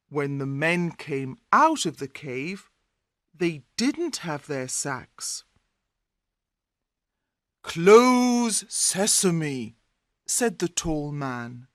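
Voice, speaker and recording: A woman's voice: low-pitched, sing-song, drawn-out words, a bit bright, no room sound, studio quality. A woman narrating a scripted fairy tale for language learners, in careful, separated phrases. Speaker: storyteller.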